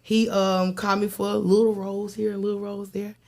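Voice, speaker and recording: A woman with a mid-pitched voice talks casually as a guest in a group interview. She is conversational and animated in how she tells things. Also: brisk. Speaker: guest, singer and new stage actor.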